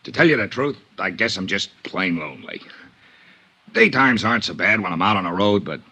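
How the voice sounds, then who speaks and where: A man's voice: deep voice, animated, fairly quick, bright, forceful tone, heavily acted. An actor playing a folksy, pushy, lonely American travelling salesman in a vintage radio drama. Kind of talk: 1950s radio drama acting.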